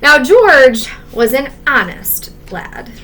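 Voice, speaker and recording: Bright-voiced woman teacher reading a storybook aloud. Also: high voice. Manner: expressive, measured with emphasis on key words. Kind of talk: children's storybook read-aloud with asides.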